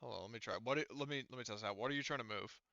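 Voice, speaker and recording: A man running an online tabletop game as game master, talking casually and haltingly as he thinks aloud while troubleshooting. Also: medium pitch, vocal fry, sharp tone, dry recording, muffled recording.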